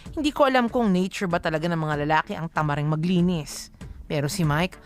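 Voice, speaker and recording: A woman reading as a young letter writer in a radio drama, confiding and measured, with a Filipino accent. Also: lowish voice, pitched below the performer's own voice, natural voice. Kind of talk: scripted radio drama narration.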